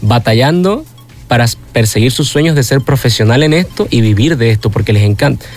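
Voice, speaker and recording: A man's voice: medium pitch, lively intonation, brisk, darkish tone, faint music behind. Young venezuelan guy, freestyle rapper, explaining his craft earnestly in an interview. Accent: Venezuelan Spanish. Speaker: freestyle rapper and workshop teacher. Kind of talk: interview answers.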